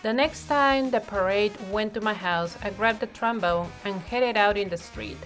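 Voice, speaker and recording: A woman narrating a children's picture book in an expressive read-aloud style, with deliberate phrasing and emphasized words. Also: mid-range voice, rough recording. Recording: music playing behind the voice. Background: music.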